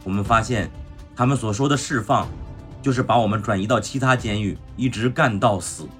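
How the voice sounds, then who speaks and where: A man acting a defiant prison foreman who gives a rousing, deliberate speech to fellow inmates in a radio play. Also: fairly low pitch, a bit bright, faint music behind, close to an everyday voice. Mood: grave, indignant. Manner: rousing, deliberate, emphatic commands. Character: prison foreman rallying inmates over a loudspeaker, commanding, defiant. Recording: dramatic score under the voice.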